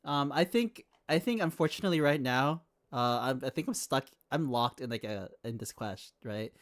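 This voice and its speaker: A woman game streamer giving excitable, casual live commentary and reacting to what she plays. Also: a bass voice, moderate pace.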